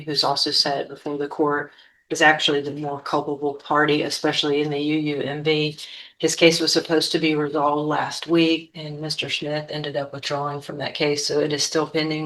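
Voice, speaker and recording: A woman prosecutor giving a formal explanation to a judge in a measured, deferential way. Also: low voice, fairly bright tone, low-quality recording.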